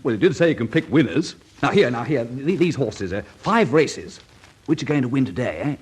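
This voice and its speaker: A man's voice: mid-range voice, animated, brisk, a little mellow, close to an everyday voice. A man playing a feckless gambling nephew in a radio comedy, eager and impatient, with stammering restarts and a British accent.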